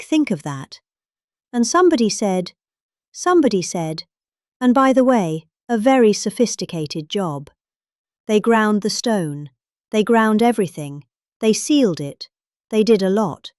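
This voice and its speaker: A middle-aged British woman giving a calm, expressive dramatic reading of a rambling speech. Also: on the high side, dry recording, studio quality. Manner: expressive, calmly measured delivery.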